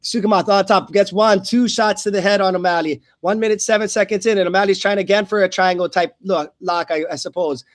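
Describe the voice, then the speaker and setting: A man doing live radio play-by-play of an MMA fight, somewhat halting, repeating and stumbling over words. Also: high-pitched, steady tone, no echo, studio quality. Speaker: play-by-play commentator.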